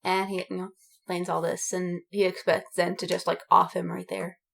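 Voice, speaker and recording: A woman's voice: lowish voice, completely flat delivery, normal speed, gravelly. A woman podcast co-host in a casual chat, talking in a giggly, gushing fangirl way. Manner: giggly, gushing fan enthusiasm. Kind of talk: casual chat about anime and fandom.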